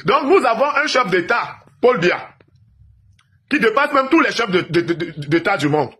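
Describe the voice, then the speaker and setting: A man's voice: high-pitched, very animated, moderate pace, sharp tone, slightly rough. A man from Cameroon giving an emphatic political monologue to viewers, lecturing and asking rhetorical questions. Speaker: political commentator.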